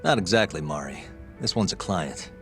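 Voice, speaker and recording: A man's voice: low voice, lively intonation, moderate pace, faint music behind. A man acting as a laid-back, dry-witted private detective in a video game, talking casually in few words. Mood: dry, relaxed. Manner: casual, laconic, understated. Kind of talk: English game dub dialogue.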